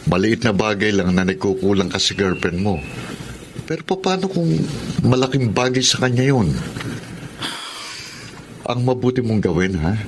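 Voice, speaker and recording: A man's voice: fairly low pitch, animated, normal speed, pauses often, noisy background, lightly acted. An actor playing a sensible, frank male friend giving earnest advice in a Tagalog radio drama. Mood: frank, advising. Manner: earnest, advising.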